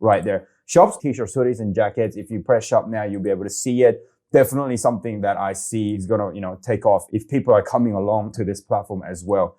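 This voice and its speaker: A man from Korea, hosting a casual, excitable screen-share walkthrough of a website and saying "okay" a lot. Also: medium pitch. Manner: excitable, chatty, says okay often. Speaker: crypto video host.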